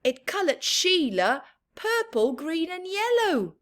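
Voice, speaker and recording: A woman reading a picture book aloud to young children, expressive and chatty, adding playful asides. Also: very high voice, slow. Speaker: children's storyteller.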